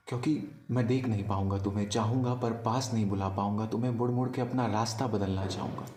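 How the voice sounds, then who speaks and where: A man performing a poetic love monologue as a storyteller, in a wistful, deliberate manner with pauses. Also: medium pitch, a little mellow, reverberant. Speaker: spoken-word storyteller. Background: music.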